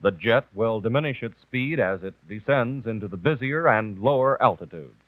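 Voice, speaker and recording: A man's voice: fairly low pitch, expressive, normal speed, no echo. An American man reading scripted documentary narration on an old, muffled 1960s television soundtrack. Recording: muffled 1964 TV kinescope, narrow band.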